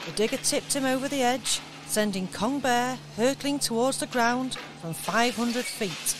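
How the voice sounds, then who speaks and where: A woman tells the story in a steady narrating voice, a little high in pitch. A faint hiss of noise runs under the radio recording. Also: measured pace.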